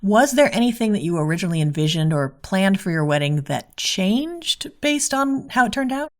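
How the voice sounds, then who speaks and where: A woman's voice: mid-range voice, sing-song, moderate pace. A woman hosting an interview, asking conversational questions in articulate, orderly phrasing. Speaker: podcast host, interviewer.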